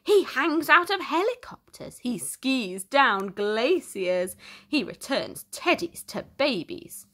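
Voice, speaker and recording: A woman reading a children's storybook aloud in an expressive, theatrical way with deliberate emphasis. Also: high voice. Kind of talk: dramatic storytime narration for young children.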